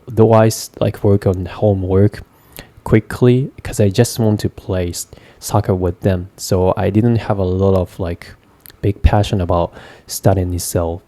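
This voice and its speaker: A man's voice: low-pitched, warm tone, dry recording. A man in his twenties from Japan with a Japanese accent, hesitantly telling his personal story in a casual vlog with many fillers and restarts. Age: 20s. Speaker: vlogger.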